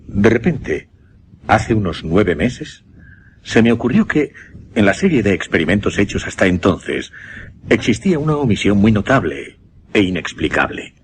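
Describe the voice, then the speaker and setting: Gentleman mesmerist, low voice, animated delivery, formal and deliberate, for radio suspense. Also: slight background noise. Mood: grave, intent. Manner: formal, deliberate, measured with dramatic pauses. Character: earnest gentleman mesmerist recounting his uncanny experiment. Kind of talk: Spanish suspense radio drama acting.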